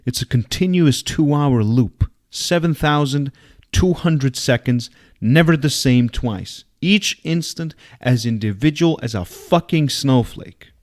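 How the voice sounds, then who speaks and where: A man's voice: medium pitch, animated, measured pace, a little mellow, voice pushed up, lightly acted. Man reading as an intense, brash woman hacker. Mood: excited, insistent.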